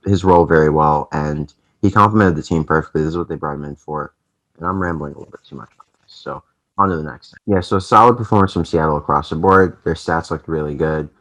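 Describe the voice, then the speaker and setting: A man's voice: very deep voice, a bit bright, rough recording. A young man hosting a casual esports recap vlog, chatty and rambling with lots of fillers, his voice a little off from allergies. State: voice affected by allergies. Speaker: esports channel host.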